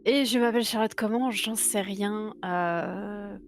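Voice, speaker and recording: A woman's voice: medium pitch, unhurried, faint music behind. A woman playing a tabletop role-playing game, talking in an offhand, amused way about her character. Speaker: player.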